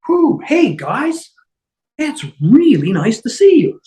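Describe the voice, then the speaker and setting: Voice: high voice, very animated, slow pace, dark tone, exaggerated voice. An amateur actor on a video call table read, playing a cheery, wistful leader resigned to doom. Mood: awkward, gentle. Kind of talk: fan table read of TV comedy script.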